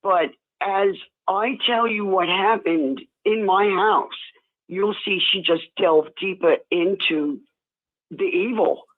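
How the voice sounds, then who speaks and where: A woman in her seventies with a Long Island New York accent, slowly telling her life story as a call-in guest. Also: mid-range voice. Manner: slow, unhurried storytelling. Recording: phone-like call audio.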